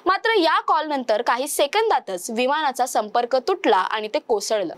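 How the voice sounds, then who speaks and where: A young woman presenting a news explainer in a measured, formal newsreader style. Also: high-pitched, a bit bright. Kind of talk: scripted news narration.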